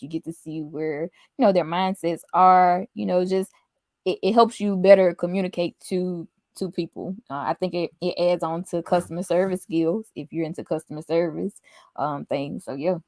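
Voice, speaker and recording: A soft-spoken woman hosting a podcast, chatting casually and laughing often. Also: fairly low pitch, no echo, clean studio sound. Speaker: podcast host. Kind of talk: casual chat.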